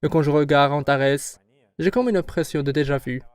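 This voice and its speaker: A man in his forties with a smooth, resonant voice that sits a little high for a man. He speaks in a dry, close studio sound. His manner is formal, measured and sure of himself. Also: dry recording. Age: 40s. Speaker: company founder interviewed. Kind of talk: formal read-aloud interview answers.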